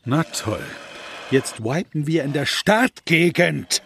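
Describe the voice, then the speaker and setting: A man's voice: on the high side, expressive, slow, creaky, fairly bright tone, slight background noise, full character voice. A man voicing a sarcastic, grumpy male druid in a parody audio drama, with a dry, mocking way of talking.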